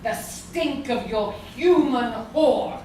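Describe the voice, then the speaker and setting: A woman playing a vengeful, gloating gargoyle sorceress in a radio play, with a theatrical, drawn-out delivery. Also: high-pitched. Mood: gloating menace. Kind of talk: live staged radio play acting. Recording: echoey hall, distant mic, noisy.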